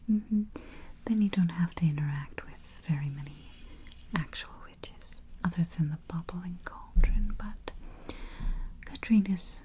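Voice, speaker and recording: Woman playing a slow, whispering vampire travel agent. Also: on the low side, a little hiss or hum. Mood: calm, matter-of-fact. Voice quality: breathy, mostly whispered. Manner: gentle, unhurried, hesitant murmured hums. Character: elegant female vampire travel agent, polite, faintly sly. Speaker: ASMR roleplay performer. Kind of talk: soft-spoken ASMR roleplay. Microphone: close-up microphone.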